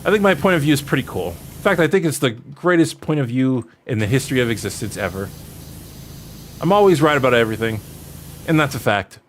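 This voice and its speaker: A man's voice: mid-range voice, lively intonation, fairly quick. A man in his early thirties chatting casually as a livestreamer answering questions, in a rambling, jokey, self-deprecating way. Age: early 30s. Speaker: streamer answering viewer questions. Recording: noticeable background noise.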